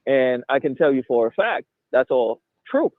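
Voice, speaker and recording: A man's voice: medium pitch, sing-song, moderate pace, no echo. A man from Haiti calling in, talking hesitantly with many stammering restarts. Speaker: caller. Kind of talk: casual debate. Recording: tinny phone line.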